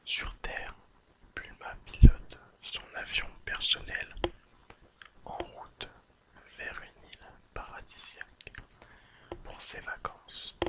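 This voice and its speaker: An ASMR creator whispering softly and soothingly while reading a summary aloud in an unhurried way. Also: rough recording.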